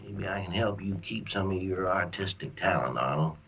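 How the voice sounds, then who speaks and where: An actor playing a plain-spoken, folksy and wry assistant who also narrates a 1950s radio drama. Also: male voice, low voice, moderate pace, noisy background. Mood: quietly sympathetic. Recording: dull vintage radio, music behind.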